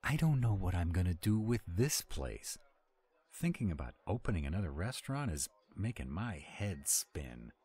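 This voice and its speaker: A very low, swinging male voice for a roleplay audio acted by a man: a grumpy were-dragon cook who doubts himself. He grumbles defensively, with tenderness under the gruffness. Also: sing-song, normal speed, dark tone, exaggerated voice. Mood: anxious, incredulous.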